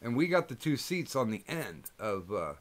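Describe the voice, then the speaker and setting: A man hosting a casual chat, loud and profane, with rambling restarts. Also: mid-range voice, big pitch swings, normal speed. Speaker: host.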